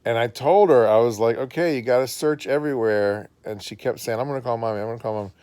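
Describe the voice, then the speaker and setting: A man's voice: mid-range voice, fast talker, darkish tone, smooth, no room sound. A man, a father chatting casually and encouragingly with his family at home. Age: adult.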